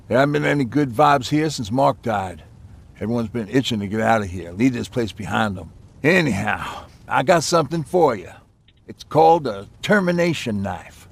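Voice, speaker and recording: Wry friendly mechanic in a game, raspy and creaky, laid back. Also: male voice, medium pitch. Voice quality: gravelly, husky. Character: easygoing resistance mechanic, wry and friendly tinkerer. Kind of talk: video game voice acting.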